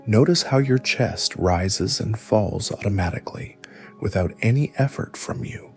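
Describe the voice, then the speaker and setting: A man narrating a scripted guided meditation, giving gentle instructions in a soothing, measured way. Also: fairly low pitch, lively intonation, vocal fry, faint music behind.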